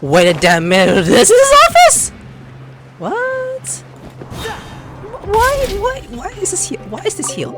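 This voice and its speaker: A man giving casual, loud, excitable commentary as he plays a game, full of exclamations. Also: very high voice, measured pace. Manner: excitable, exclamatory, breaks into mock voices. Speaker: gameplay streamer. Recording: loud game music behind voice.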